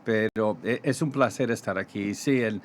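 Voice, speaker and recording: Middle-aged man, American accent with Mexican touches, halting radio guest, faint hiss behind. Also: fairly low pitch. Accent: American with Mexican Spanish touches. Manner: halting, many fillers and restarts. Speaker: pastor, ministry guest. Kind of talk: radio interview in Spanish.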